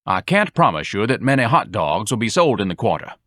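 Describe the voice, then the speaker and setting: A man's voice: lowish voice, sing-song, a little fast, fairly bright tone, dry recording, clean studio sound. A man voicing a pompous, self-pitying fat young man who speaks in lofty, grandiloquent phrases. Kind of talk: audiobook dialogue.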